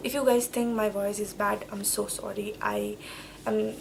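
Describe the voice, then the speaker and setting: A woman's voice: medium pitch, sing-song, unhurried, vocal fry, slight background noise, rough recording. A young woman with a cold, chatting casually as a book vlogger, emphatic and repeating words. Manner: emphatic, repeats words and phrases, says okay often. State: has a cold. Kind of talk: casual vlog chat, book reviews.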